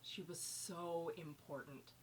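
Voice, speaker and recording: A woman's voice: lowish voice, steady tone, slow, breathy edge, low-quality recording. A woman acting a wistful war bride in a dramatic stage monologue, reflective and confiding as she remembers wartime. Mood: nostalgic.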